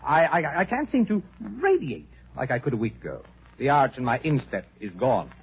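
An actor playing a self-pitying, pompous older doctor in classic radio drama, peevish and emphatic. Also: male voice, mid-range voice, big pitch swings, normal speed, breathy edge. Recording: dull old radio recording, faint noise.

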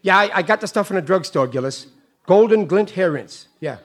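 A man's voice: high-pitched, lively intonation, brisk. A man playing a bumbling middle-aged working-class American husband in an old radio comedy, blustering and stammering. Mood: sheepish. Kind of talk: 1940s radio sitcom acting. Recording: old radio recording, audience laughter.

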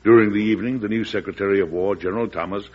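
A man's voice: lowish voice, very fast, a little mellow, husky. A man delivering scripted narration for a classic radio drama. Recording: dull old radio broadcast.

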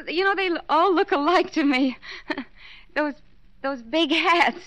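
A woman's voice: high voice, slow, fairly bright tone. An actress playing a flustered, evasive wife in a 1940s radio drama, stammering out her excuses. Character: evasive, flustered young wife hiding an affair.

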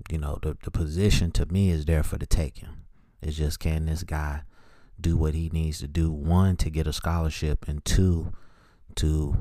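Deep, mellow-voiced man talking football. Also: no room sound, clean studio sound. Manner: plainspoken, opinionated, clipped note-like phrases. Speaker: host of a sports opinion show. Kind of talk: solo football scouting commentary, part read from notes.

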